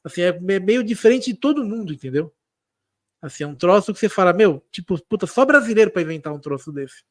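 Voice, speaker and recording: A man's voice: high voice, lively intonation, fast, no room sound, studio quality. A Brazilian man with a São Paulo accent with a slight Italian lilt, chatting loosely about history on a live stream, with many restarts and slangy fillers. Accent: São Paulo Portuguese with an Italian-tinged lilt. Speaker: guest speaker on history. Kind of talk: casual live-stream chat.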